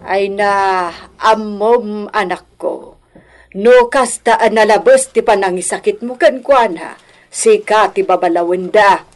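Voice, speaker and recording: A woman's voice: medium pitch, slow pace. A woman acting an older, meddling mother-in-law who scolds and complains in a radio drama. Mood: complaining. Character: meddling elderly mother-in-law, reproachful and self-righteous.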